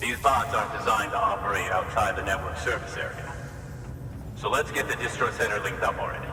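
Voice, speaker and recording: An actor playing an easygoing, businesslike depot worker in a sci-fi video game cutscene. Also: male voice, lowish voice, a little fast, close to an everyday voice. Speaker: distribution center staffer. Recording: distant, echoey hall with noise.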